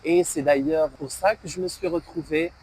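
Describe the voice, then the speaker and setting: A man's voice: on the high side, steady tone, normal speed, a little mellow, a little hiss or hum, poor audio. A man from Morocco, talking as a guest in an interview, hesitant and full of filler sounds. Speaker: guest, French teacher and banker.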